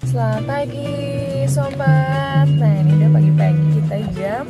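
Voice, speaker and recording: A woman's voice: fairly high pitch, big pitch swings, normal speed, lo-fi sound, loud music behind the voice. A woman filming her family on a camping trip, chatting casually and laughing often into a handheld phone. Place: tent and campsite outdoors.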